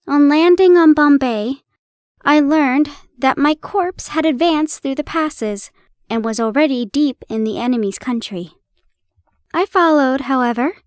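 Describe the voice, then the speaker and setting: A young woman in a playful roleplay, chatting warmly to the listener and reading an old story aloud, giggling and stumbling over old place names. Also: sits high, dry recording, studio quality. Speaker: audio roleplay performer.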